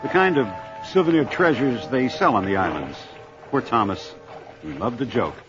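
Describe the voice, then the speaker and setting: Man acting a lively-pitched protective grandfather. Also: moderate pace, lightly acted. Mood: somber. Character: calm, protective grandfather, seasoned diver and adventurer. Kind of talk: audio drama acting. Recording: dull lo-fi recording, faint music.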